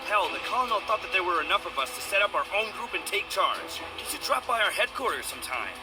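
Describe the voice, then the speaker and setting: An earnest, chatty amateur superhero from a video game. His voice is on the high side and informal, with loud music behind it. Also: big pitch swings, quick pace. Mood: eager, friendly. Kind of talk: video game cutscene acting.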